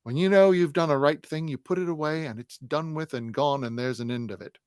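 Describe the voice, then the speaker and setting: A man voicing a shrewd, jovial police detective who talks in a hearty, loud, coaxing way. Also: a little high, fairly quick, clean tone, no echo, voice pushed up, close to an everyday voice.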